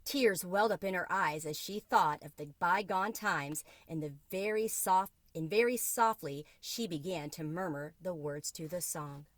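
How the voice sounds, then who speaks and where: A woman's voice: lowish voice, measured pace, few pauses. A woman reading a children's book aloud as a storyteller.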